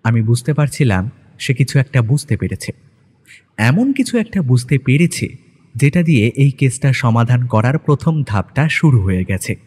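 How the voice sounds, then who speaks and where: Male narrator, medium pitch, husky tone, dramatic scripted storytelling. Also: darkish tone.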